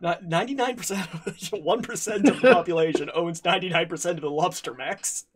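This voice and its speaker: A man's voice: sits high, a little fast, background noise. A man running a tabletop game stream, introducing players with stammering restarts and jokes. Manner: stammering restarts, joking, laughs a lot. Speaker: game master hosting a charity stream. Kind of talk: casual chat, introducing players.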